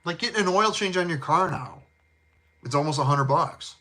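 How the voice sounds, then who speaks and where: A middle-aged man with a heavy Chicago South Side accent and a bright, forward tone. He rambles in a laid-back, chummy way, dropping fillers and casual slang as he talks about colognes with his viewers. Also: on the high side, lively intonation, moderate pace. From Chicago, USA. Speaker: fragrance channel host. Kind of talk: casual live chat.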